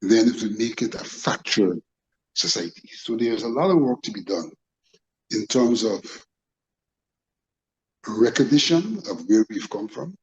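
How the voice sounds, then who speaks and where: A man with a Guyanese accent speaking as a panel guest, in formal, deliberate phrasing during a political discussion. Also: lowish voice, sing-song, darkish tone, dull audio.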